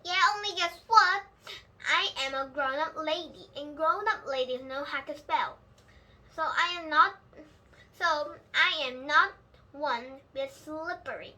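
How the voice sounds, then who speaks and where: A woman's voice: high-pitched, a little slow, sharp tone, pitched above the performer's own voice. A woman voicing a huffy, boastful little girl who insists she is grown-up in a storybook, stressing words emphatically. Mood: indignant.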